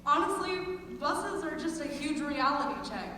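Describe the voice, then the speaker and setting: Teen female student performer, high register, comic exaggeration, very reverberant hall recording. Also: unhurried, pushed and bright. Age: teenager. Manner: self-deprecating, exaggerating for comic effect. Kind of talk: scripted comic monologue on stage. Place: large echoey indoor performance space. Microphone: distant camera microphone. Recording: echoey hall from far off, noisy.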